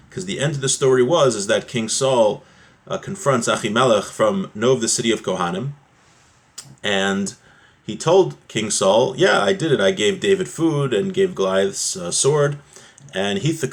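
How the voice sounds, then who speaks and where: A man with a fairly low voice leads an informal religious text lesson. He reads short source phrases, then explains them in plain terms, repeating and rewording his points and asking questions he goes on to answer. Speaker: religious teacher leading a class.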